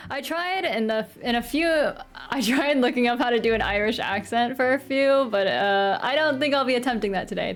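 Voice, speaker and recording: A chatty, giggly American woman streaming live with a fairly high voice, greeting and joking with her chat. A quiet pop playlist runs faintly under her talk. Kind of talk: casual live-stream chat and game reading. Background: faint pop music playlist.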